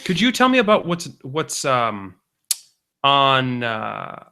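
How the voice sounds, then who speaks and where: A man co-hosting a casual podcast, tossing in teasing jokes and quick remarks. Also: medium pitch, big pitch swings, vocal fry, pushed and bright, clean tone. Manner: joking, teasing interjections. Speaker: podcast co-host.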